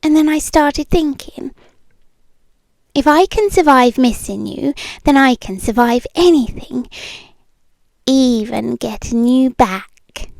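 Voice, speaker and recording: A British woman playing a possessive, eerily calm yandere ex-girlfriend in an intimate, partly whispered ASMR roleplay, cooing slowly and condescendingly with soft menace. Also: sits high, vocal fry, no echo, full character voice. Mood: wounded, longing. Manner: slow, deliberate, softly menacing, condescending. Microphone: close microphone, dry.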